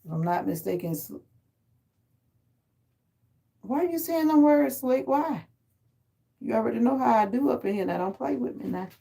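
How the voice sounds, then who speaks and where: A sleepy middle-aged woman, raspy from a sinus infection, chatting casually with viewers on a phone livestream from home and teasing them by name. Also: fairly high pitch, animated, moderate pace, pauses often, warm tone. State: sleepy and exhausted. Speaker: livestream host. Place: at home indoors.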